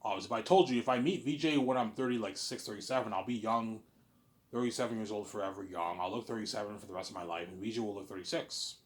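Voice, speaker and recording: A man's voice: fairly low pitch, quick pace. A young man talking in a casual, rambling monologue, repeating himself and restarting phrases, blunt in his wording. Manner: repetitive, often restarting phrases. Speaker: speaker in a personal series about his planned film.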